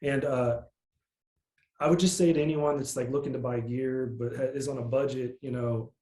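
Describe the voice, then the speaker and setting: A man with a nasal voice, a musician guest in a casual interview, talking in a relaxed, rambling way with plenty of fillers. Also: mid-range voice, normal speed, roomy sound.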